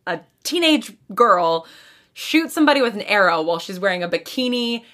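Woman, bright forward voice, medium pitch, casual podcast guest. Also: sing-song, normal speed. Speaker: podcast guest, show creator. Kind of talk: casual chat.

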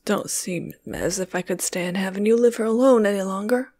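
A woman voicing a shy older countryman who speaks haltingly and earnestly in folksy words. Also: medium pitch, dark tone, no echo, lightly acted. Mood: broken. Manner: halting, trembling, folksy speech.

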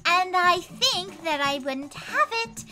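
A woman's voice: very high voice, animated, slow pace, full character voice. A woman voicing a bratty, dramatic girl who boasts about her wand. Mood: sassy. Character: sassy girl with a magic wand.

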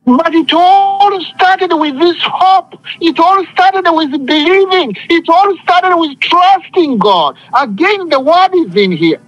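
A man's voice: extremely high pitch, animated, takes it slowly, hardly any pauses, a bit bright, muffled recording. A man preaching an impassioned sermon, speaking emphatically with repetition and often calling for responses from listeners. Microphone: phone conference call.

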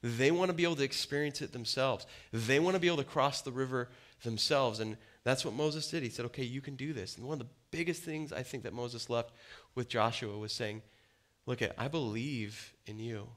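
A man in his thirties preaching a sermon in a casual, conversational and animated way, on stage in a dim church hall before a crowd. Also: medium pitch, brisk. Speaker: pastor.

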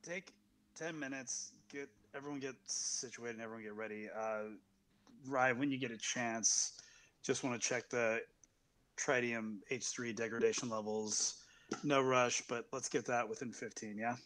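A man's voice: medium pitch, fairly even intonation, normal speed, fairly bright tone, slight background noise, poor audio. A man improvising as a ship's captain in a tabletop roleplay, giving businesslike orders with some hesitation. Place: indoors, group video call.

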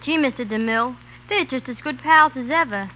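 A woman's voice: sits high, a little fast. A woman, a guest speaking scripted lines in a 1930s radio interview. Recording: muffled 1930s radio recording with hum.

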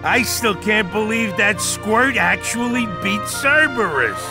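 A man playing a gruff, grumpy little middle-aged satyr who trains heroes, blustery and streetwise, in a cartoonish video game. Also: sits high, animated, slow pace, over music. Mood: amazed. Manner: blustery, streetwise, mock-pompous when proclaiming.

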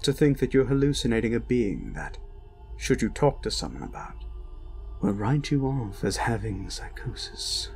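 A man playing an ancient, cruel incubus demon in a dark roleplay audio, mocking and gloating, with slow menace and breathy whispers. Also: mid-range voice, very animated. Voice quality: creaky, breaking into breathy whispers. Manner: slow, menacing, taunting, drawn-out. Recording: ominous music behind the voice.

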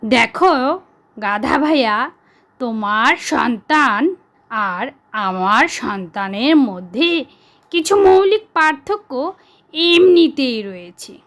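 A woman's voice: high voice, expressive, takes it slowly, long pauses, a bit bright, heavily acted. A woman doing a kindly comforting dog. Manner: soothing, reassuring. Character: calm kindly male dog friend.

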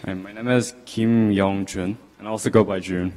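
Young Korean-born male student, hesitant, lowish voice, hall echo. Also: fairly even intonation, moderate pace, warm tone. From South Korea. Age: young adult. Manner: hesitant, many "like" fillers. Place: large lecture hall. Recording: reverberant lecture hall.

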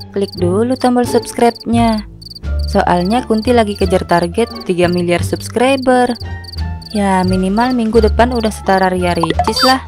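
A woman's voice: on the high side, natural voice. A woman voicing a sassy, grumpy female ghost in a comedic cartoon, with music and night insects behind her. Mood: playful, flirty. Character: sassy, grumpy female long-haired ghost, flirty and vengeful.

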